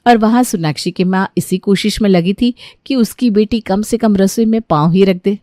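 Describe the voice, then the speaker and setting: A woman's voice: mid-range voice, normal speed, dark tone, dry recording, clean studio sound. A woman narrator reading a scripted cartoon story.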